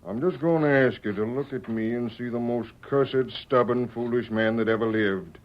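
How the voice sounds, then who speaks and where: A man's voice: mid-range voice, normal speed, natural voice. A man playing a stubborn, hot-tempered, honest frontier father in an old radio western, with folksy rural speech. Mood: rueful. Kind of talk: 1940s radio western acting. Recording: muffled old radio recording.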